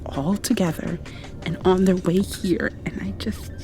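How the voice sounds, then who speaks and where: A woman doing an ASMR roleplay, drifting between whispers and soft speech in an affectionate, playfully scolding way. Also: on the low side, fairly even intonation, unhurried, music in the background.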